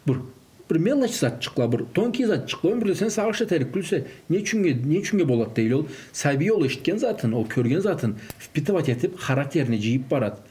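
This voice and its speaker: A man's voice: fairly high pitch, big pitch swings, a little fast, darkish tone. A man who is a native Karachay-Balkar speaker talks in an informal discussion, mixing in Russian words and phrases. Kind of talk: informal religious discussion on raising children.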